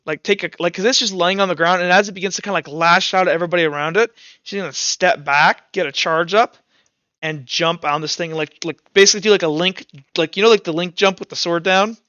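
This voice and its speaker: A man's voice: high-pitched, fairly even intonation, fast talker, pushed and bright, no echo, lo-fi sound. A young man running a tabletop roleplaying game as game master, talking informally and thinking aloud as he narrates.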